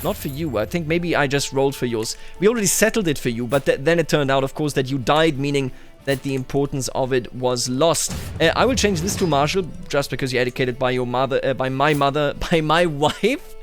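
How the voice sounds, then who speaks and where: A man's voice: mid-range voice, fairly quick, faint music behind. A man doing roleplay commentary over a strategy game, addressing its characters and himself with theatrical declarations. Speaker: gaming YouTuber.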